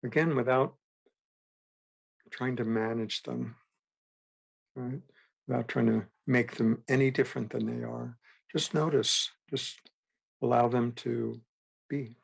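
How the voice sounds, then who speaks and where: A man guiding a meditation as a teacher, with gentle, unhurried instruction and frequent pauses. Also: medium pitch, steady tone, creaky, slightly rough. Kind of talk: guided meditation.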